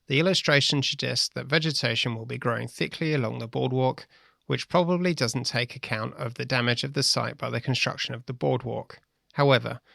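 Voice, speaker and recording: Gravelly, bright-voiced Australian man reading. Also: mid-range voice, dry recording, studio quality. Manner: measured, steady read-aloud. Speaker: newspaper reader. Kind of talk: reading a letter aloud.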